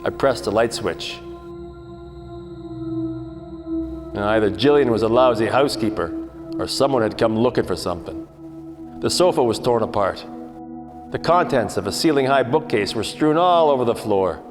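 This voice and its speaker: An actor playing a wisecracking, world-weary 1940s private eye, narrating in a wry, hard-boiled radio-parody style. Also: male voice, medium pitch, lively intonation, moderate pace, natural voice. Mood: wry, tense. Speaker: actor narrating the lead part. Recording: long hall reverb, music underneath.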